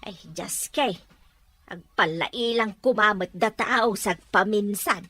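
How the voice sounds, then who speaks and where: Practical filipino mom played by a lady, bright forceful voice, dry sound, audio drama. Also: medium pitch, takes it slowly, no room sound. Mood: matter-of-fact. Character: practical, outspoken mother fussing over family. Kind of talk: Ilocano audio drama acting.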